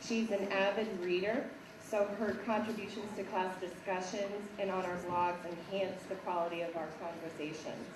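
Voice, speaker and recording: A woman teacher with a raspy voice, reading prepared praise for students at a school assembly. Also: mid-range voice, fairly even intonation. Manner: measured, reads prepared praise. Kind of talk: award speech at a school assembly. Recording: echoey hall, distant mic, background noise.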